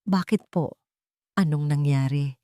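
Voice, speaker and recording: A woman's voice: low voice, measured pace, warm tone, smooth, dry recording, clean studio sound. A woman with a Filipino accent voicing a decisive, protective male CEO who speaks in clipped commands in an audio story. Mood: urgent, commanding.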